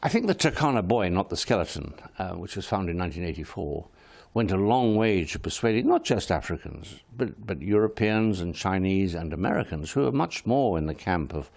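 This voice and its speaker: Gravelly man. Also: low-pitched, animated, darkish tone. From Kenya. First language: Swahili. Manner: frank, firm, measured statements. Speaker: interview guest, wildlife service head. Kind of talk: studio interview answers.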